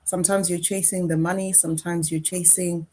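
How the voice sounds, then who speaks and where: Lower-voiced woman hosting a live chat. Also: little pitch movement, fairly quick, dark tone. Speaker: host of a live panel discussion. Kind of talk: casual hosted online conversation.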